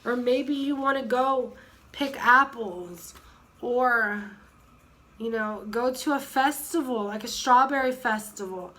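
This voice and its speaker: A woman in her thirties with a cold, hoarse and sniffly, chatting casually in a rambling way with many fillers and restarts. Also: on the high side, takes it slowly, pushed and bright. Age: 30s. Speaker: vlogger. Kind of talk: casual chat.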